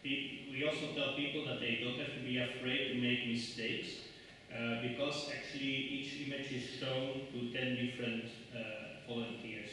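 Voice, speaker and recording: A man's voice: medium pitch, steady tone, normal speed, sharp tone, slight background noise. A middle-aged man whose first language is Dutch, giving a hesitant conference talk in a lecture hall with many ums and restarts. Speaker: conference speaker. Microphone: lectern mic, far camera. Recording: echoey hall from far off.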